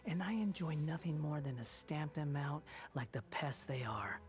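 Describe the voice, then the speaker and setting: Scornful young male antagonist played by an actor, cold, theatrical, deliberate, faint score underneath. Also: on the high side, expressive, close to an everyday voice. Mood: disdainful, brooding. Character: scornful, brooding young male villain turned penitent. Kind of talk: video game voice acting. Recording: game audio with music behind.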